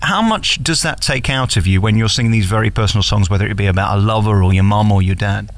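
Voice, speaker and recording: Male celebrity interviewer, slightly low voice with vocal fry, chatty and teasing delivery. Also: fast. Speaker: interviewer and host.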